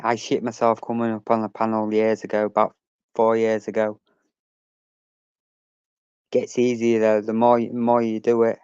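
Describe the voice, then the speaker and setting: A man's voice: lowish voice, monotone, normal speed, long pauses, darkish tone, smooth, no echo, muffled recording. A man hosting a casual livestream, chatting and reading out messages from his chat, swearing now and then.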